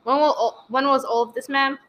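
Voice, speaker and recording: A woman's voice: high-pitched, steady tone, a little fast, a bit bright. A woman playing a bored, noncommittal police desk sergeant in a radio play, perfunctory and hesitant.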